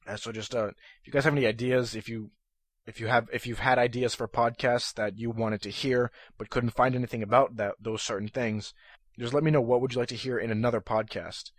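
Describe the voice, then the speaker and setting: A young man hosting a casual solo podcast, talking in a monotonous, rambling way full of fillers and restarts, his voice a little hoarse. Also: medium pitch, a little fast, no room sound. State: slightly hoarse. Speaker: podcast host. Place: room at his computer.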